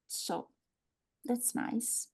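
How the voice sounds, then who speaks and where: An actress playing a smug government agent posing as a nurse, cajoling with deliberate, condescending, mock-soothing calm in a screenplay read. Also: female voice.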